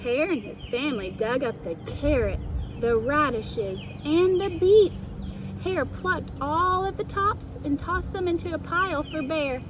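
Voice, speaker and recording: A woman reading a children's story aloud in a deliberate, expressive, teacherly way, like a storytime host. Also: sits high. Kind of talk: storytime read-aloud narration. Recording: clear background ambient noise.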